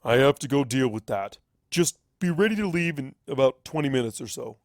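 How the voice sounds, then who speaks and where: A man voicing a weary, resolute wizard pony who is a father, speaking gravely and firmly in a story reading. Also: medium pitch, animated, fairly quick, no echo, studio quality, close to an everyday voice. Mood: brisk, resigned. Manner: grave, firm.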